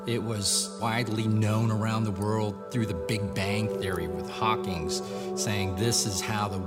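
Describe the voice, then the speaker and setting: A man in his fifties, a project manager, telling his story in a halting way, often repeating words. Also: fairly low pitch, steady tone. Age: 50s. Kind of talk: testimony interview. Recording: loud music underneath.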